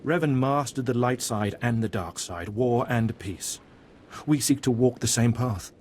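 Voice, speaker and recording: A man playing a devout, guarded cult mentor in a video game, speaking in a formal, reverent, measured way. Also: mid-range voice, darkish tone, slight background noise, natural voice. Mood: solemn, reverent. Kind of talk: scripted video game voice acting.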